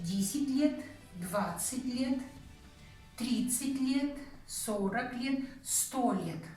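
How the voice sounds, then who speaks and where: A woman's voice: fairly high pitch, a little mellow. A woman teaching a language lesson, patiently counting through examples and checking in with her student. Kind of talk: explaining a grammar point, counting through examples. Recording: very echoey room, voice from a distance, faint music.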